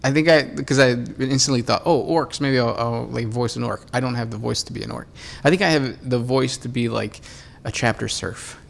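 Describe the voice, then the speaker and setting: A man's voice: mid-range voice, moderate pace, gravelly, few pauses. A man co-hosting a casual podcast about a tabletop war game, easygoing and joking, reading out fan questions. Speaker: podcast co-host.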